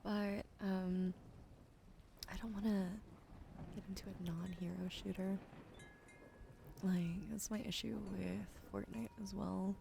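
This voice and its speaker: A sleepy woman streaming ASMR with a sore throat, switching between soft whispers and casual chat about games into a close microphone. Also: medium pitch, flat delivery, slow, creaky, pauses often. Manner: soft whispering between chatty gaming talk. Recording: noisy stream audio.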